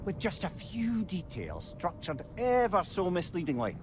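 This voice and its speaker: A man's voice: high-pitched, wildly swooping pitch, normal speed, over music. A man playing a witty, avuncular older talking head in a video game, a learned storyteller with a Scottish accent and wry, eloquent delivery. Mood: relishing storyteller. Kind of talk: theatrical video game acting.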